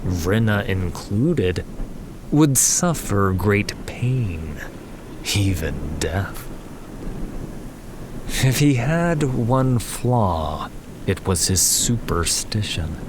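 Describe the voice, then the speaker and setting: A man narrating a fantasy audiobook in a dramatic, measured and solemn scripted style. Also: lowish voice, a little hiss or hum. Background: music.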